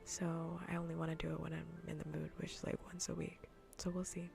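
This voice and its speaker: A woman livestreamer chatting softly in a breathy, mostly whispered ASMR voice close to the mic, rambling playfully and repeating her phrases. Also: low-pitched, monotone, a little fast, faint music behind. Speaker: livestreamer hosting an ASMR gaming stream. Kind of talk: soft ASMR casual chat to viewers. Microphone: close ASMR microphone.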